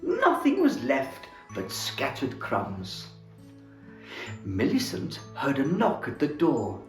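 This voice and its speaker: A man playing Santa as storyteller, reading a children's book aloud in a theatrical, expressive way. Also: medium pitch, slow pace. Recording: roomy echo, faint music.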